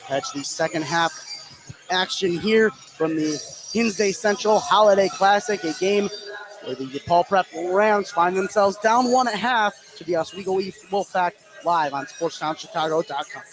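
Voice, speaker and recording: A man's voice: high-pitched, normal speed, over music, rough recording. An American man doing live play-by-play commentary on a basketball game. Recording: clear gym noise behind voice. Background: sports venue ambience.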